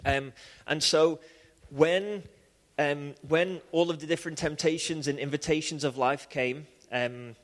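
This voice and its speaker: A man with a Liverpool accent preaches to a church congregation, his speech animated and full of restarts and fillers. His voice sits a little high for a man. Long reverb from the hall trails behind every phrase. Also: measured pace. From England. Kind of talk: sermon with personal testimony.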